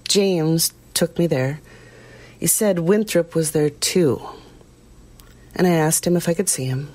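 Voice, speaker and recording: A woman's voice: sits low, unhurried, mellow. An actress playing a troubled woman recounting a frightening ordeal in an audio drama, reflective and hesitant. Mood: subdued, haunted.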